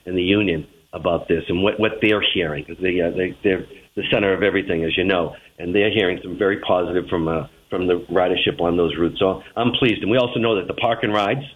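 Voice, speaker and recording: A man's voice: low voice, fairly even intonation, fast. A man with a Boston accent answering questions as a transit official in a radio interview, heard over a narrow, tinny phone line. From Boston, United States. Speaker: transit agency general manager, interview guest.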